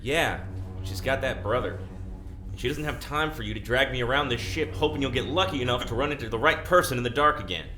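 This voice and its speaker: Man as a sardonic fugitive, bright and echoey. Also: medium pitch, a little hiss or hum, natural voice. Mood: defiant, goading. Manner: dry, sardonic, halting. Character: sardonic, defiant fugitive on a spaceship, not quite a man. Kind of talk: audio drama acting. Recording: echoey room, faint music behind.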